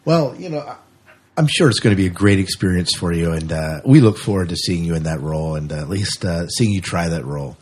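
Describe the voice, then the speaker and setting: A man's voice: low-pitched, expressive, fairly quick, a little mellow, slight background noise. A man hosting a podcast, chatting casually with a guest in an encouraging, agreeable way. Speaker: podcast host, interviewer. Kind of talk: casual interview chat.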